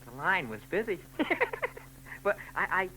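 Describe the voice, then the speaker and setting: An actor playing a giggling, stammering, underweight lush in a 1940s radio drama. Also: male voice, sits high, sing-song, a little slow, pushed and bright, slight background noise, heavily acted, low-quality recording. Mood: wheedling. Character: giggly scrawny barfly man, wheedling lush.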